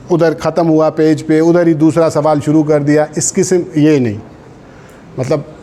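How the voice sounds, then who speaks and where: Mellow, higher-pitched Pakistani male lecturer. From Sindh, Pakistan. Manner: instructive, checks understanding with short tags; switches into English terms and phrases. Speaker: law exam coach. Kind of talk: informal classroom lecture with exam advice.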